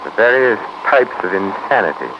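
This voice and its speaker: A cultured male prisoner acted by a man speaks low and calmly, choosing each word with academic care and excellent manners. Something obsessive sits under the composure. It is a 1950s radio play, dull in tone and clearly noisy. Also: moderate pace, lightly acted. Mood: bookish, composed. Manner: polite, precise, academic diction. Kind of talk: 1950s radio drama acting.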